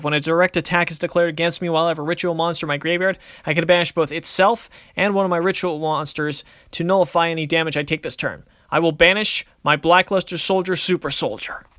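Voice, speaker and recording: Man acting a cocky anime card duelist, bright and forceful. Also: on the high side, no room sound, clean studio sound, natural voice. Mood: defiant. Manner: theatrical, declamatory, smug. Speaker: voice actor in a fan dueling series. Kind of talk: anime-style dramatic battle dialogue.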